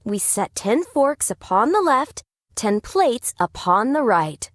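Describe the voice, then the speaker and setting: A woman with a fairly high voice reads rhyming children's verse as narrator. Her pitch moves around a lot, her pace is unhurried, and the recording is dry. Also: very animated, no room sound. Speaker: storybook narrator. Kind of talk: rhyming children's read-aloud.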